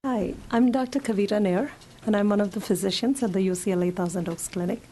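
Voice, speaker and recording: A woman physician giving a health talk to a seniors' group. Her voice is hoarse, and she talks the audience through her slides in a conversational way. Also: medium pitch, quick pace, mellow.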